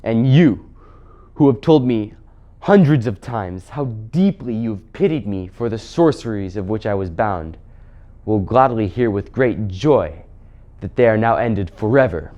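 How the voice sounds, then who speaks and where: A man's voice: lowish voice, animated, a little slow, mellow, muffled recording. A man playing a noble, resolute young prince who speaks in a formal, courtly, declamatory way. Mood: proud, resolute.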